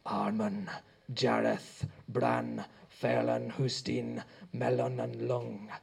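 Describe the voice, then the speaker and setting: A man voicing an ancient sea god speaking through a corpse, slow and archaic, with gloating menace. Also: mid-range voice, little pitch movement, gravelly, slightly rough, full character voice.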